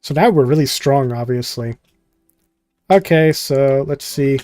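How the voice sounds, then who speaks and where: A man's voice: medium pitch, measured pace, mellow, no echo, faint music behind. A man giving casual, rambling, jokey gameplay commentary and reading game text aloud as host. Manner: rambling, jokey, skims text with filler words. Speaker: host playing a visual novel.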